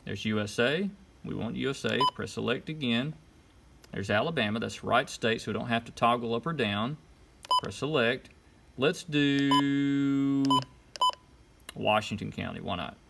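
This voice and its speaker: A man's voice: medium pitch, a little slow. A man giving an informal how-to demonstration, talking through each step in short, casual instructions. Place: indoors at a desk. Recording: clear background noise, clicks and beeps. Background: button clicks and electronic beeps.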